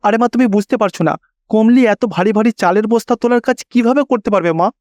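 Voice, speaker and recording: A man's voice: high-pitched, quick pace, darkish tone, no room sound, clean studio sound, natural voice. A man voicing a worried, dutiful young married son who pleads earnestly in a cartoon.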